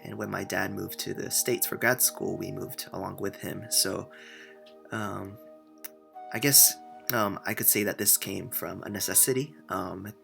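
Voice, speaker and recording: A man's voice: lowish voice, creaky. A young man from Japan whose first language is Japanese, a university student answering interview questions in an earnest way with many filler sounds. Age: young adult.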